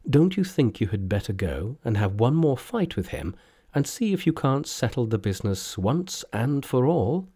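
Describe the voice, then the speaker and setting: A narrator voicing a mild male pilgrim monk gently coaxing in a storybook reading. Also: medium pitch, sing-song, moderate pace, breathy edge.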